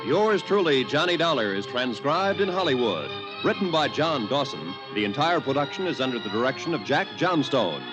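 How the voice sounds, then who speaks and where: A man's voice: medium pitch, very animated, normal speed, hardly any pauses, poor audio. A man announcing a 1950s radio show in a scripted, old-time broadcast style. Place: radio studio. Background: music.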